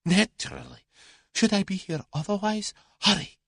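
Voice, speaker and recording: A man voicing a frightened male Russian agent who speaks slowly, in a strained and unsteady way. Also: high voice, expressive, vocal fry, pushed and bright, dry recording, studio quality, pitched above the performer's own voice, exaggerated voice. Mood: urgent, tense. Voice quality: slow, strained, unsteady delivery.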